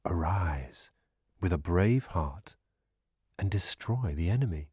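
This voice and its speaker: Calm, commanding male god-teacher acted by a man for scripture audiobook: deep, husky, grave. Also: no room sound, clean studio sound, exaggerated voice. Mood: stern, urging. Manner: deliberate, grave, instructing.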